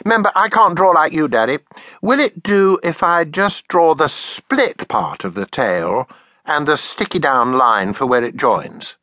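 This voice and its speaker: A man reading aloud and voicing an eager, excitable little cave girl in a storybook, chirpy and breathless with excitement. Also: fairly high pitch, unhurried, no echo, studio quality, voice pushed up. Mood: excited.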